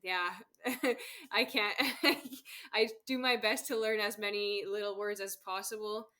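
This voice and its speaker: A woman's voice: mid-range voice, steady tone, measured pace, sharp tone. A woman from Canada, a guest on an interview show, chatting casually about travel and laughing often. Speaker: guest, solo travel expert.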